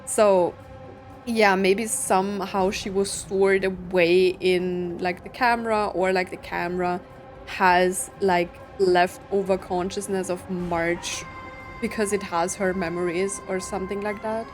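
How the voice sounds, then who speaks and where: A woman's voice: mid-range voice, level tone, a little slow. A woman doing casual let's play commentary, slangy and puzzled as she thinks aloud. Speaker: streamer reacting to a game story. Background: faint game music and dialogue.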